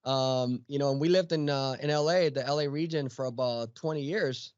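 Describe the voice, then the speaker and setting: A man's voice: medium pitch, normal speed, a little mellow, clear, resonant tone, no echo, lo-fi sound. A man in his late thirties from Mexico, a podcast guest chatting casually with many fillers and restarts. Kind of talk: casual chat.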